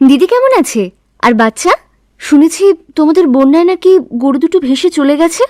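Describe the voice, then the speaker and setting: A woman's voice: sits high, expressive, normal speed. A woman voicing a caring village wife in a cartoon story, consoling a neighbour. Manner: warm, consoling.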